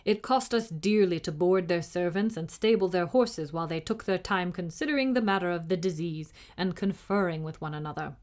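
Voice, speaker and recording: A woman's voice: lowish voice, a little fast, hardly any pauses, darkish tone, natural voice. A woman voicing a proud, formal, easily indignant tiny knight in a storybook, with old-fashioned dignified speech. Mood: grave storytelling. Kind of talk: storybook character reading.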